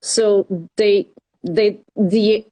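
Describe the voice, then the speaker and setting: A woman from Portugal speaking English, a nurse talking haltingly in a casual interview. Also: medium pitch, fairly even intonation, dark tone.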